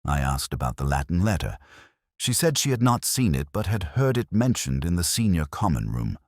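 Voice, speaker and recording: A man reading a scripted first-person detective story in a measured, literary, reflective manner. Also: deep voice, big pitch swings, darkish tone, no echo, studio quality. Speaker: narrator.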